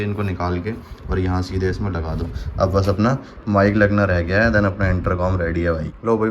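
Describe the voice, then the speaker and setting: A man's voice: fairly low pitch, a little hiss or hum. A man giving a casual hands-on product demonstration, chatty and informal, talking straight to viewers like a vlogger. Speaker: motorcycle vlogger and product reviewer.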